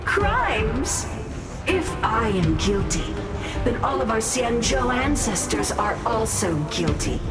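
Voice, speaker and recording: An actress voicing a zealous, proud cult leader and alchemist in a video game, solemn and grandiose, echoing under loud music. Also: female voice, fairly high pitch. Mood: indignant. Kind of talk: scripted video game drama acting.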